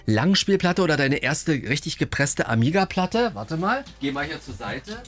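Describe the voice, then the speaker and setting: A man hosting a radio show who reads out listener mail and interviews a guest in an upbeat, slightly rushed way, with many fillers. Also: a little high, big pitch swings, fairly bright tone, dry recording. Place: radio studio.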